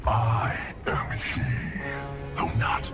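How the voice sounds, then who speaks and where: An actor voicing a corrupted, fanatical servant of chaos in a video game, with gravelly, menacing proclamations. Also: male voice. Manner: slow, menacing, zealous proclamations. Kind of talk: dramatic video game cutscene acting. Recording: loud music behind.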